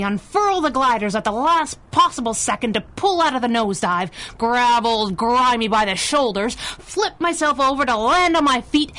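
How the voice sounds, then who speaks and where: An actress playing a tough, wisecracking young masked crimefighter in a 1930s-style radio adventure, with a cocky, sardonic delivery. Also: female voice, on the high side, fairly bright tone.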